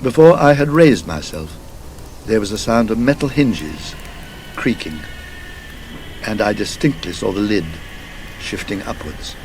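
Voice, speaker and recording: A man voicing a haunted English gentleman traveller in an audiobook ghost story, with an RP accent and a halting, emphatic delivery. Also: fairly low pitch, lively intonation, slight background noise, low-quality recording. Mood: shaken, fearful. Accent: British Received Pronunciation. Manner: halting, emphatic, fearful confession.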